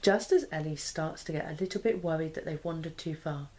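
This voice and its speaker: A woman's voice: low-pitched, fairly quick, darkish tone, a little hiss or hum. A woman in her thirties reading a children's storybook aloud expressively. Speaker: mother and parenting vlogger. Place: small living room, indoors.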